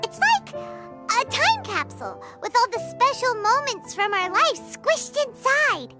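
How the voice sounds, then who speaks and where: Game role: small cheeky fairy companion. Squeaky, extremely high, very animated. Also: female voice, measured pace, exaggerated voice. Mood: impressed. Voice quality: squeaky, childlike. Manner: exclamatory, cheeky, speaks of self in third person. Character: small excitable childlike floating fairy companion, cheeky and chatty. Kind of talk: video game character acting. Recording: music playing behind.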